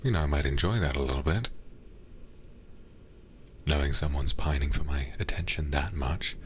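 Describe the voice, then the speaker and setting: A man's voice: rumbling low, moderate pace, slight background noise. A man playing a smug demon captor in an intimate ASMR roleplay, teasing and condescending as he drawls his mock-pitying taunts. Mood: teasing menace.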